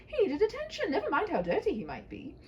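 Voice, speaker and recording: A woman's voice: very high voice, wildly swooping pitch, fast, darkish tone, highs cut off, slight background noise. A woman reading a humorous story aloud as a storyteller, whimsical, with conversational asides.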